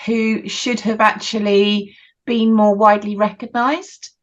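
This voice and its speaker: A woman's voice: mid-range voice, level tone, unhurried, dull audio. A woman interviewing a guest over a video call, encouraging him with short affirmations. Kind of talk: conversational interview.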